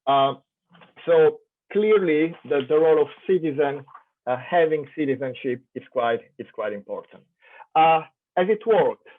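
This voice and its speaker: A man's voice: a little high, expressive, measured pace. A man from Italy with an Italian accent, giving a keynote lecture with lots of fillers and restarts. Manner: thoughtful, many fillers and restarts. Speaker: keynote speaker. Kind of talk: academic lecture. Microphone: online conference call. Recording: tinny phone-like audio.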